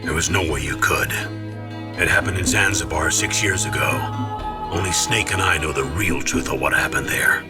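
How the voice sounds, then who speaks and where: An actor playing a grave older colonel in a video game, solemn, measured and authoritative. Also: male voice, a bass voice, over music. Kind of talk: dramatic video game voice acting.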